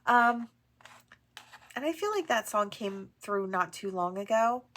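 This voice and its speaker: A woman's voice: medium pitch, expressive, unhurried, slight background noise. Stuffy-voiced woman giving a tarot reading. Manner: gentle, affirming, many fillers and "right?". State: stuffy from allergies. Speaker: tarot reader. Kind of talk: channeled oracle reading to camera.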